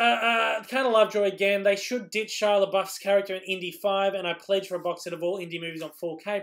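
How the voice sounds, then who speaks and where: High-voiced Australian man, going hoarse. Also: fairly bright tone. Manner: rambling, lots of ums and restarts. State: losing his voice. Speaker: YouTube host answering live chat. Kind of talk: casual live-stream Q&A.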